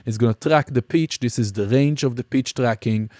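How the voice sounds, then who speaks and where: A man giving an informal, explanatory software walkthrough as a music production instructor. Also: mid-range voice, moderate pace, dry recording, clean studio sound. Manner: casual, explanatory. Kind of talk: screen-recorded software tutorial, informal walkthrough.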